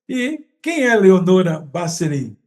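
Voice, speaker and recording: Male podcast host, Brazilian accent, high-pitched voice, courteous and effusive, chatty interviewing. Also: sing-song, unhurried, darkish tone.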